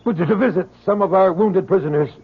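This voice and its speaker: A strained, high-voiced ailing spy. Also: male voice, gravelly, slightly rough, full character voice. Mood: nervous, evasive. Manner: halting, breathless, repeating words. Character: ailing spy posing as a doctor, strained and urgent. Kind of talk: old-time radio drama acting. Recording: muffled noisy old radio recording.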